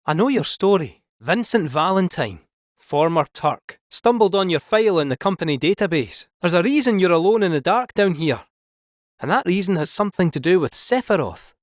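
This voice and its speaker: A man's voice: animated, moderate pace, no echo, clean studio sound. A man voicing a chatty, scheming male robot cat in a game, with a Scottish accent and a jaunty, theatrical delivery. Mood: earnest. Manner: jaunty, theatrical, swooping pitch. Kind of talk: video game voice acting.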